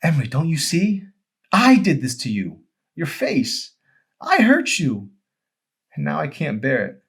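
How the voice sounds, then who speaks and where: A man voicing a tormented, withdrawn young man in an audiobook, halting and anguished with guilt. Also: fairly high pitch, wildly swooping pitch, vocal fry, fairly bright tone, husky, pitched above the performer's own voice, full character voice.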